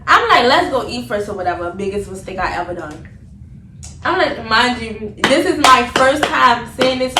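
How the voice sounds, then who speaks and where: A young woman chatting casually as she tells a story, animated, slangy and repetitive in her retelling. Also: fairly high pitch, moderate pace. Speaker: vlogger telling a story. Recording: roomy, distant mic, noticeable background noise.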